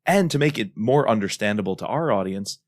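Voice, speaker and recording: Low-voiced man, enthusiastic podcast co-host. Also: lively intonation, a little fast, no room sound, clean studio sound. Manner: enthusiastic, emphatic. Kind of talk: casual podcast discussion.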